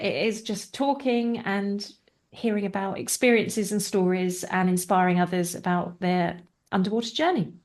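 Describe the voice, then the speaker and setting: A woman co-hosting a podcast, chatting casually, laughing easily, and teasing her co-host in a friendly way. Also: mid-range voice. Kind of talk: casual banter.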